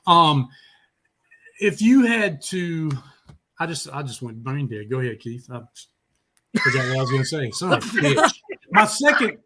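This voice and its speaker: A man's voice: a little high, sing-song, normal speed, pauses often, bright, forceful tone, a little hiss or hum. A man hosting a music talk show, chatting casually in a rambling, salty-tongued way. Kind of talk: casual chat.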